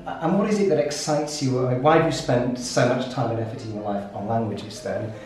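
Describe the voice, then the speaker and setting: A man's voice: medium pitch, a little fast. A man hosting an interview, asking questions with hesitant, stammering restarts. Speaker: host. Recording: echoey room, heard from some distance, soft music.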